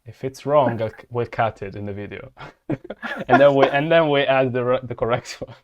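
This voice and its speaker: A man's voice: mid-range voice, moderate pace. Man on a muffled video call. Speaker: interviewer, event organizer. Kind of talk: casual video-call interview. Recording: muffled lo-fi audio.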